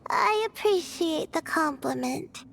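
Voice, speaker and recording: Timid, high-voiced anxious student girl. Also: animated, takes it slowly, gravelly, a bit bright, slight background noise, full character voice. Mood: wistful. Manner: hesitant, apologetic, trailing and stammering. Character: timid, self-doubting young female student, gentle and anxious. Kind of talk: English video game voice acting. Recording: faint music behind the voice.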